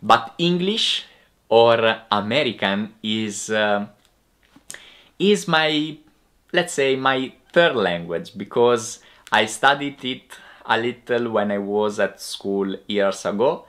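A man's voice: on the low side, lively intonation, slow pace, fairly bright tone. A young man in his twenties from Italy, a native Italian speaker with an Italian accent, preaching a sermon as an evangelist. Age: 20s. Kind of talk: sermon addressed to camera. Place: indoor room with bookshelves.

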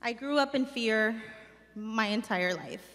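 Young woman from Mexico, organizer giving a read speech; slightly high voice, long hall reverb. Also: slow, fairly bright tone. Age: young adult. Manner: earnest, reading from notes with some restarts. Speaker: community organizer. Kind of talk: reading a personal speech at a summit. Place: large hall stage. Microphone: stand mic.

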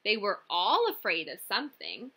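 A woman's voice: medium pitch, huge pitch swings, moderate pace, bright, forceful tone, husky, exaggerated voice. A young woman voicing a caring mother turtle in a storybook, soothing and attentive. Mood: concerned, attentive.

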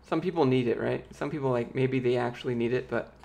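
A man in his late twenties with a light, youthful voice that sometimes squeaks, casually chatting and answering viewer questions on a live stream from a small home office into a close studio microphone. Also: quick pace, vocal fry. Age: late 20s. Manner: relaxed, rambling, reads viewer questions aloud. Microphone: large studio mic with pop filter, close.

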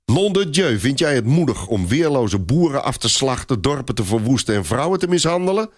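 A man's voice: mid-range voice, very animated, brisk, no echo, studio quality, pitched above the performer's own voice. A man voicing a grim, short-tempered medieval knight commander in an audio story, snapping and curt. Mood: angry.

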